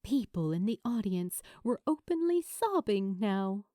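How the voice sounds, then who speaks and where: Slow, very expressive woman narrating fiction. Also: medium pitch, dry recording, clean studio sound. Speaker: audiobook narrator.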